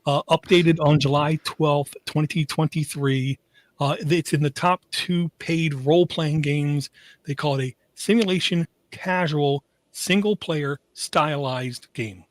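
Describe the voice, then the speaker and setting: A man with a fairly high voice co-hosting a podcast. He chats casually with a wry, sarcastic streak. The sound is close and dry, with no room echo. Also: a little slow. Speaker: podcast co-host. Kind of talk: casual chat.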